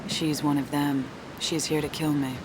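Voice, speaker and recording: An actress playing a hunted femme fatale, intimate and urgent, in a dramatic video game cutscene. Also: female voice, low-pitched, little pitch movement, normal speed, noisy background, natural voice. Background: music.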